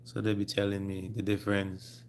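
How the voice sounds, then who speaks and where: A man with a Jamaican accent hosting a casual live stream, speaking haltingly and repeating his phrases. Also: lowish voice, completely flat delivery, darkish tone, a little hiss or hum. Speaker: livestream host.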